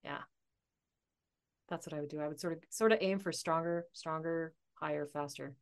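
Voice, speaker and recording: Woman, forties, podcast guest, laughs a lot, slightly low voice. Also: moderate pace, clean tone. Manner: animated, laughs often, thinks aloud. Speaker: podcast guest, author and coach. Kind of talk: casual interview chat.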